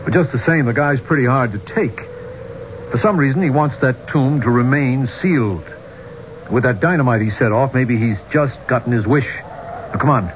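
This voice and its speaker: An actor playing an affable but hot-headed archaeologist with a casual, blunt manner in a 1970s radio drama. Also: male voice, medium pitch, normal speed, natural voice. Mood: irritated. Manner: casual, plain-spoken, blunt. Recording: muffled, noisy 1970s radio recording.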